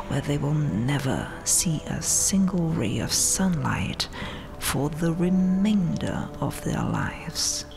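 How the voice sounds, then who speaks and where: A woman voicing a haughty, gloating vampire queen in a breathy, taunting whisper for an ASMR roleplay. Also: low-pitched, full character voice. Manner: taunting, mock-sweet, haughty, drawn-out delivery. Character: domineering, gloating vampire queen, regal conqueror. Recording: long cavernous reverb, faint hiss. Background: dark tomb ambience.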